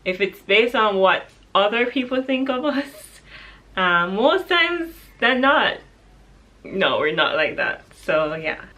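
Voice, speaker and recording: A woman's voice: medium pitch, sing-song, slow, bright, forceful tone. A woman from Jamaica with a Jamaican accent, candidly chatting through a question-and-answer vlog, with easy laughs. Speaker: vlogger.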